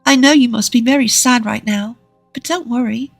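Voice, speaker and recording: A woman voicing a gentle, cheerful young wife in a narrated story, with a comforting, lightly teasing manner. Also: high-pitched, moderate pace, vocal fry, no echo, soft background music, pitched above the performer's own voice. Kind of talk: acted dialogue in a narrated story.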